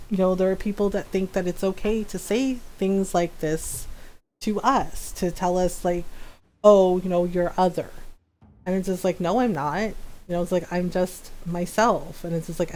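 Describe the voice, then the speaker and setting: A woman's voice: lowish voice, low-quality recording. A middle-aged American woman live streamer chatting casually, telling personal stories and acting out quoted dialogue as she goes. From United States. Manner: animated retelling with acted-out quoted dialogue.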